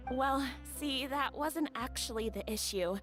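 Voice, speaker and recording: A woman's voice: sits high, a little slow, a bit bright, faint music behind. A young woman playing a sweet, humble, grateful bride-to-be in a video game. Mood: earnest, hesitant.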